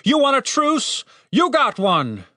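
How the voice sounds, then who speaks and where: A cynical leader of dungeon guards in a fantasy audiobook, played by a man. He is blunt and exasperated, with his voice pushed up high in complaint. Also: wildly swooping pitch, a little slow, a bit bright, no echo, clean studio sound, exaggerated voice.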